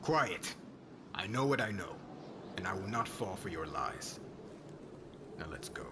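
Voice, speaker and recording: Man playing menacing witch hunter, very deep, hushed commands, formal, stern, ASMR fantasy roleplay, clear background noise. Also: very animated, a little slow. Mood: cold, accusing. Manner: commanding, formal speech, often hushed and threatening. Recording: clearly noisy ambient background.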